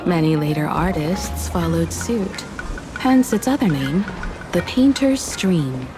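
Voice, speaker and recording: A woman voicing a composed, wistful young woman in a game story, talking in a measured, reflective way. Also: lowish voice, expressive, over music. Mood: thoughtful. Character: composed, wistful young woman, former scrappy martial artist student. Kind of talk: scripted game story dialogue.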